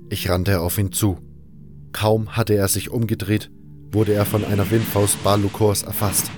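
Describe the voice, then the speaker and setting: Low-voiced male fantasy narrator. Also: a little mellow. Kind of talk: scripted first-person fantasy narration.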